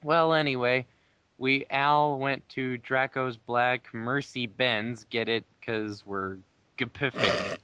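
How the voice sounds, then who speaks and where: A man's voice: medium pitch, steady tone, takes it slowly, pushed and bright, clean tone, dry recording. A man giving a dramatic reading of fan fiction, breaking off with mocking asides. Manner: breaks off to mock the text. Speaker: player in a dramatic reading game.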